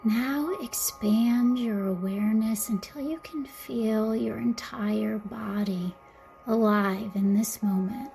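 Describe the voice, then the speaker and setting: A woman guiding a mindfulness meditation in a gentle, soothing, softly spoken way, with breathy phrase endings. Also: on the high side, takes it slowly, faint music behind. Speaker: meditation guide. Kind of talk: guided mindfulness meditation narration.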